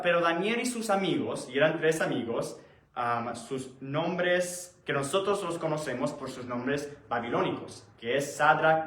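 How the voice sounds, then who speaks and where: A man giving a conversational Bible study, explaining haltingly and often rephrasing as he goes. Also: medium pitch, a bit bright. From born in Puerto Rico, raised in the United States. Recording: roomy, echoing sound.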